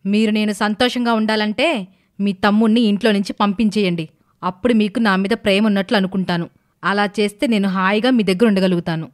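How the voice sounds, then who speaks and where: A woman voicing a sly, aggrieved young village wife in an animated moral story, complaining and self-pitying. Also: mid-range voice, a little fast, lightly acted. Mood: sulky, demanding.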